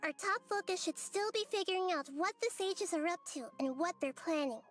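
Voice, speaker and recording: High-voiced, thoughtful child goddess. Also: female voice, lively intonation, brisk, a bit bright. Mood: thoughtful, composed. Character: gentle childlike young goddess, wise, thoughtful and earnest. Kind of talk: anime video game voice acting. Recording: game music under the voice.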